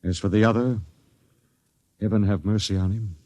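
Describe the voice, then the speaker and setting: A man's voice: fairly low pitch, steady tone, dry recording, close to an everyday voice. A man playing a grim doomed space captain. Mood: grave. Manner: grave, measured narration turning sharp and bitter. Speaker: expedition captain narrating. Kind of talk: 1950s radio drama acting. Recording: muffled old radio broadcast with music.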